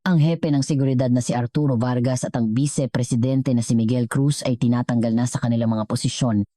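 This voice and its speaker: Female narrator for dramatic audio stories. Deep register, soft dark tone, dry recording. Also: fairly even intonation, a little fast, no room sound. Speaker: storyteller and channel narrator. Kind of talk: scripted dramatic story narration.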